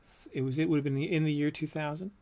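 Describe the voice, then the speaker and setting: A man in his fifties with a low, soft voice, reminiscing casually on a livestream about his old art, with many ums and trailing thoughts. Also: fairly even intonation, fast. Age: 50s. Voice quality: low, soft voice that doesn't project. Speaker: comics artist showing his old work.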